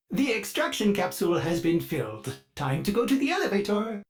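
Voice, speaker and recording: A doting, courteous ship AI from a video game, his filtered synthetic voice swinging lively in pitch. He talks of himself in the third person, and now and then his words stutter and loop like a faulty machine. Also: moderate pace. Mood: dutiful. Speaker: ship's onboard assistant.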